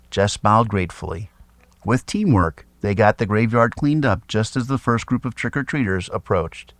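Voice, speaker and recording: A man narrating a scripted children's story in an expressive, dramatic read-aloud style. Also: medium pitch, dry recording.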